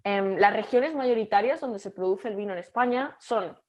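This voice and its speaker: A young Catalan woman with a Catalan Spanish accent, giving an online lecture over a video call and speaking haltingly with frequent fillers and restarts. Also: medium pitch, darkish tone. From Catalonia, Spain. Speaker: guest lecturer.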